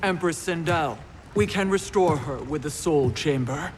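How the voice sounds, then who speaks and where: An actress playing a stern, commanding warrior royal in a video game cut-scene, speaking formally and imperiously. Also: female voice, deep voice, expressive, unhurried, darkish tone, a little hiss or hum.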